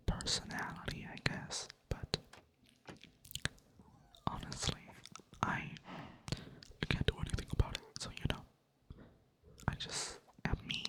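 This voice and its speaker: A creator whispering ASMR-style in a monotone, rambling casually while playing a game at a computer. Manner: monotone delivery; rambling, casual, self-mocking. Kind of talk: whispered ASMR chat over gameplay.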